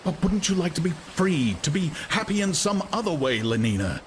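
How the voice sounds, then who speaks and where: A man's voice: a little high, very animated, a little fast, slight background noise, pitched above the performer's own voice. A man voicing a brooding, discontented young man who speaks hesitantly and earnestly. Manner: hesitant, searching, derisive at times.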